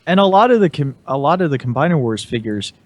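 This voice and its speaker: A man's voice: a little high, sing-song, fast talker, no echo. A man in casual conversation as a podcast panelist. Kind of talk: casual chat.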